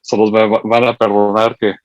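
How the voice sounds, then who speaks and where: A middle-aged man from the United States giving a seminar talk as a university education researcher. He speaks haltingly, with many fillers, and admits he is nervous. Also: lowish voice, flat delivery, no echo. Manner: halting and hesitant, full of fillers, admits to nerves. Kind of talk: seminar presentation. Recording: dull lo-fi dry sound.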